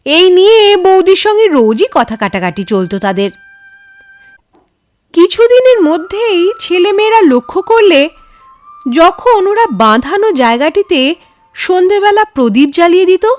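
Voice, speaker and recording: A woman reading a story aloud as narrator, in a measured, expressive storytelling manner. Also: very high voice, soft background music. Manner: measured, dramatic storytelling delivery. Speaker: storyteller, channel host.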